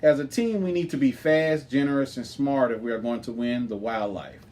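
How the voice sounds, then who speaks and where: A man's voice: mid-range voice, normal speed, a little mellow, close to an everyday voice. A man reading the part of a confident, businesslike teenage girl team leader in a storybook. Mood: brisk, prompting.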